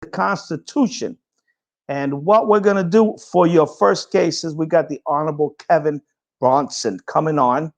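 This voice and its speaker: A man's voice: a little high, normal speed. A man hosting a talk show, introducing his guest in a brash, informal way. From Puerto Rican heritage. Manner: brash, informal, hesitant over names.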